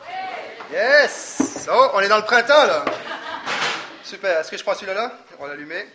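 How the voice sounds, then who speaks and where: A man from Quebec with a Québécois French accent, preaching a lively sermon in a church, full of rhetorical questions and restarts. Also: high voice, normal speed, background noise.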